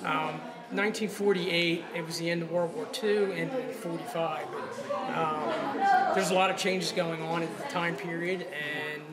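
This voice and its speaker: A man's voice: on the high side, unhurried. A man giving conversational interview answers as a guest, speaking as a theater director. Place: public venue on location, crowd behind. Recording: loud crowd chatter on location.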